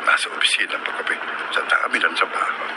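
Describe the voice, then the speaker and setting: An actor with a Filipino accent playing a kind-hearted, protective married office worker in a radio drama. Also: male voice, low-pitched, fast. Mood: musing, concerned. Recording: loud street ambience and vehicle noise.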